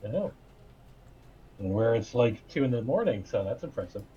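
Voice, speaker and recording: A man's voice: medium pitch, very animated, normal speed, warm tone, husky. A man playing in a group online game, telling stories in casual, conversational chat. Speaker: player in a group gaming stream. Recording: dull, lo-fi voice-chat audio.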